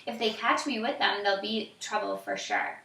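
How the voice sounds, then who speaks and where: A woman voicing a young male soldier, frightened and tender, speaking in a hushed, pleading way, recorded in an echoey room from afar. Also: medium pitch, moderate pace, close to an everyday voice. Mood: warning. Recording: echoey room, distant mic.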